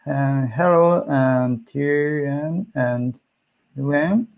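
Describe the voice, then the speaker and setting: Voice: medium pitch, very slow, vocal fry, muffled recording. A conference host speaking hesitantly in short phrases while informally introducing a session online.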